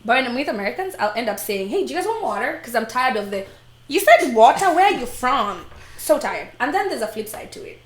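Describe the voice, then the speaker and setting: A woman's voice: on the high side, fast talker, roomy sound. A Kenyan woman co-hosting a live stream, chatting casually in English and Swahili with lots of laughter and playful quoting. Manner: animated, laughing, switches into playful voices for quotes.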